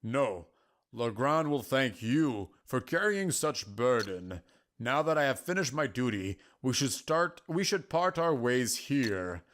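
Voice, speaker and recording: A man performs a solemn keeper of prophecy for a fantasy story. He is patient and cryptic, choosing formal, old-fashioned words and setting them out in a measured way. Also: mid-range voice, big pitch swings, fairly bright tone. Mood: gentle, solemn. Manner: measured, formal, archaic phrasing.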